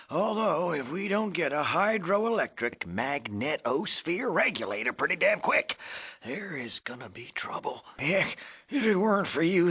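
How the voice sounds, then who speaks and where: An actor voicing a cranky, joking old male ghoul in a video game, with a wheezy, cracked rasp and a folksy drawl. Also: a little high, pushed and bright, exaggerated voice. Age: older. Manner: folksy drawl, wisecracking, wheezy cackles and coughs. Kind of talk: video game character acting.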